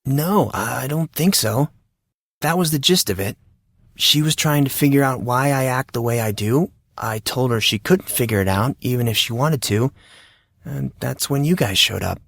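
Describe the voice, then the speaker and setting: A man playing a guarded, awkward and sarcastic teenage boy in an audio drama, answering evasively and a little haltingly. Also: mid-range voice, vocal fry, a bit bright, no room sound, clean studio sound. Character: guarded, sarcastic fourteen-year-old boy, awkward and exasperated. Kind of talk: audio drama character dialogue.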